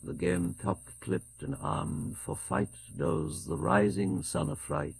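An older man with a British accent reciting poetry in a solemn, measured, declamatory way. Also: a little high, wildly swooping pitch, creaky. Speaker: poetry reader.

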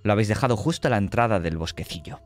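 A man's voice: on the low side, lively intonation, rapid-fire. A man with a Castilian Spanish accent narrating and refereeing a tabletop roleplaying game in a conversational way. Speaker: game master.

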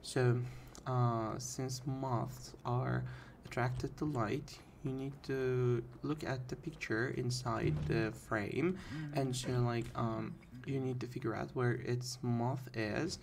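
Slow, creaky-voiced man streaming. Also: medium pitch, fairly even intonation, slight background noise. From Turkey. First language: Turkish. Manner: halting, deliberate pronunciation. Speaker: game streamer. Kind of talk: casual live gameplay commentary. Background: game ambience.

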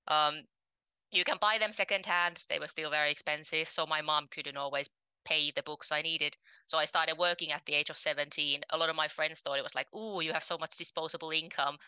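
A young woman from Finland with a low voice that sits in a narrow band. Her tone is bright and very clean, recorded dry and close on a headset. She tells her story earnestly and plainly, with lots of ums. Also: fairly even intonation, fairly quick, smooth, dry recording. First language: Finnish. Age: 20s. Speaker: podcast guest. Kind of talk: casual interview talk. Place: home room, indoors.

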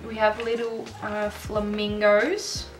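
A young woman whose first language is Dutch, chatting excitedly in English as she opens mail at her desk at home, often breaking off and restarting. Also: mid-range voice, takes it slowly, music in the background. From Netherlands. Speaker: stationery vlogger. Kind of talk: casual unboxing chat.

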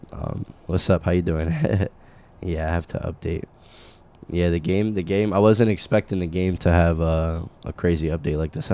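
A young man hosting a casual game livestream while sick, his voice a little scratchy, talking in a laid-back way full of ums as he greets chat members by name. He speaks into a boom mic at home. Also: mellow. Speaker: streamer. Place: small room at home. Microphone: boom mic on arm, headphones.

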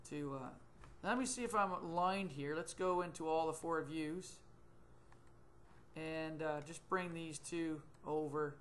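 Higher-voiced man explaining software steps. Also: unhurried, slight background noise. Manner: thinking aloud, many ums and self-corrections. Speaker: software tutor. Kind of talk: screen-recorded software tutorial. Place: small indoor room, webcam in corner of screen.

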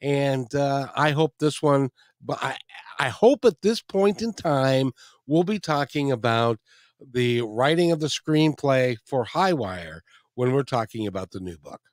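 A man's voice: medium pitch, expressive, unhurried, no room sound. A middle-aged man hosting a talk radio show, chatty and jokey, repeating phrases as he talks into a studio microphone. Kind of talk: interview and show outro. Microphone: studio microphone with headphones. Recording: dry close mic, faint music.

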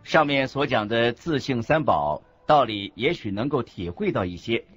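A man reading scripted religious teaching as a narrator, in a deliberate, instructive manner. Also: medium pitch, expressive, a bit bright, breathy edge. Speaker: narrator of a Buddhist teaching radio program. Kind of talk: scripted didactic narration in a radio program. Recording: muffled lo-fi audio with music underneath. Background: background music.

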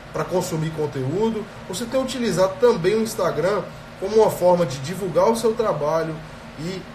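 A man's voice: high-pitched, distant mic. Brazilian guy with a cold doing a phone live, earphone mic, roomy echo, noise behind. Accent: Brazilian Portuguese. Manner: energetic, addresses viewers directly, frequent "tá?" checks. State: has a cold. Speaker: productivity coach hosting a live stream. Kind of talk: live stream talk to viewers. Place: small indoor room. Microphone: earphone headset mic on a phone.

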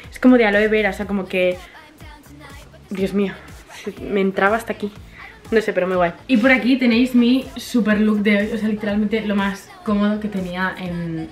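A young woman in her twenties from Spain, chatting casually to her handheld camera in a vlog, upbeat and full of fillers, a little stuffy from allergies. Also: mid-range voice. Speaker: vlogger. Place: hotel room and outdoors in a city. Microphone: handheld camera at arm's length.

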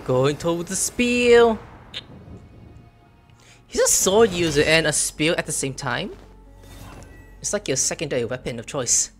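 A young man streaming a video game, reacting excitably, swearing and shouting at enemies. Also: on the high side, a little slow, pauses often, faint music behind. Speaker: gaming streamer. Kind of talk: casual gameplay commentary.

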